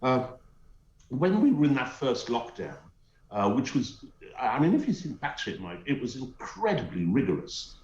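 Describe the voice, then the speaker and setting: Middle-aged Teesside commentator, emphatic and scornful, lively pitch, heard over a dull roomy link. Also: male voice, moderate pace. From North East England. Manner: emphatic, scornful, hesitant with many uhs. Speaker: guest commentator. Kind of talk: opinionated talk show commentary. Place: remote link from home.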